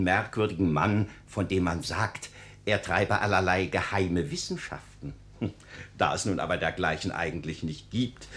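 A man playing a dignified, benevolent registrar in a radio fairy tale, advising in a formal, measured way. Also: fairly low pitch.

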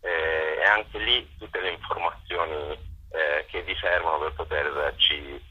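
A man's voice: very deep voice, steady tone, takes it slowly, breathy edge, dull audio. A man organizing cultural events, answers interview questions, hesitant and full of fillers.

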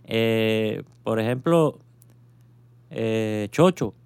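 A man's voice: fairly low pitch, extremely slow, darkish tone, clear, resonant tone, dry recording. A man hosting a radio chat, thinking aloud with many fillers and tag questions. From Cuba. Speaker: radio show host.

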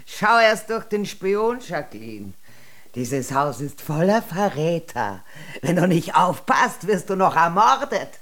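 A woman's voice: fairly low pitch, big pitch swings, slow pace, fairly bright tone, exaggerated voice. An actress playing a limp, dramatic, imperious and self-pitying queen in an absurdist radio drama, with a languishing, exclamatory delivery. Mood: irritated, suspicious.

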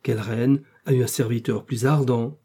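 A man's voice: mid-range voice, steady tone, normal speed, warm tone, breathy edge, close to an everyday voice. A man playing a passionate, melancholy duke in love, speaking in fervent, pleading declamation for a dramatic novel reading. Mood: ardent. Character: passionate, lovestruck English duke, reckless and melancholy.

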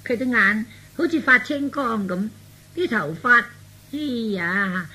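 A high-voiced, anxious young lady. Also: animated, slow, sharp tone, breathy edge, heavily acted, poor audio. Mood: anxious, startled. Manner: polite, anxious. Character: concerned young lady visitor, polite and easily startled. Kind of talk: Cantonese radio drama acting.

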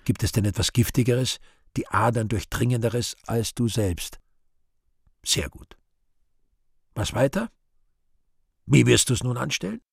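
A vain, scheming devil in a radio play, brooding aloud to himself. The voice is gravelly and husky, swinging widely from low muttering to high exclamation. It is recorded dry and close. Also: male voice, animated, a little slow, pauses often, dry recording, full character voice. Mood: self-questioning. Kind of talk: theatrical radio play monologue.

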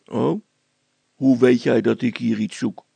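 A blunt, scolding man from a storybook, as a male reader acts him out. His voice has heavy creak and a close, dry sound. He talks colloquially and brushes people off. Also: mid-range voice, fairly even intonation, slow pace, warm tone, dry recording, heavily acted. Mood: wary, probing. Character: gruff, bold man scolding a boy.

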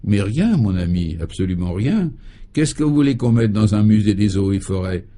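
Guy doing a dreamy old professor in a radio comedy, soft dark tone, very polite and pompous about nonsense. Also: fairly low pitch, lively intonation, moderate pace, slight background noise, natural voice. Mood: proud, absurd. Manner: courteous, ceremonious, solemnly absurd. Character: dreamy, proud, courteous eccentric old male professor. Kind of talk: French comic radio serial acting.

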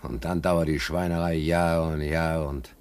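Gruff-talking ship stoker in a radio play, deep voice, brooding n bitter, colloquial. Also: male voice, little pitch movement, unhurried, darkish tone. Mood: bitter indignation. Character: uneasy, bitter working-class male ship stoker. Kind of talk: German radio play acting.

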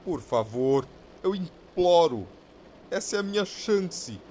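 A man's voice: a little high, very animated, slow pace, darkish tone, a little hiss or hum. A man playing a haughty, desperate restless soul in a borrowed body in an audio drama, with a Brazilian accent and formal, insulting speech. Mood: desperate pleading.

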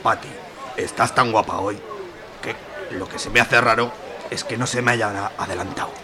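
A man's voice: fairly low pitch, fairly even intonation, normal speed, natural voice. A man playing an awkward middle-aged suitor who speaks in stiff, overly formal phrases, over crowd murmur. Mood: earnest. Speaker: character in a radio serial.

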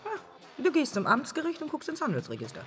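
A woman playing a sharp, teasing young student in a naturalistic radio drama, with a brisk, matter-of-fact way of talking. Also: high-pitched, wildly swooping pitch, soft background music. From Iran. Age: young adult.

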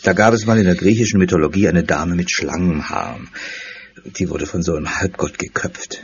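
A police chief inspector in a radio play, with a low voice and a wry, matter-of-fact manner. The recording is muffled, with noise behind him. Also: male voice, fairly quick. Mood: wry, grim. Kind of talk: German audio drama acting. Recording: dull sound with clear background noise.

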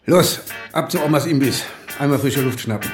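Guy playing gruff old cop boss near retirement, wry and bossy, middle pitch, music underneath. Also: moderate pace, close to an everyday voice. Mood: wry, matter-of-fact. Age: older. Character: gruff old male police inspector nearing retirement. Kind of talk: German radio drama acting.

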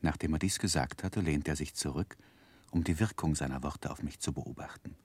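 A man acting as a reflective narrator recalling his boyhood in a radio play, with measured, expressive literary storytelling. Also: extremely low pitch, slightly rough, no echo, full character voice. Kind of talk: scripted narration, 1960s German radio play.

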